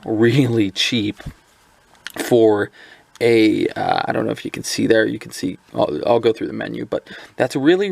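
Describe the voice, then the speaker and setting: A man's voice: medium pitch, vocal fry, darkish tone, no room sound. A man giving a casual hands-on review of a handheld radio, talking loosely with lots of ums and restarts. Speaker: product reviewer.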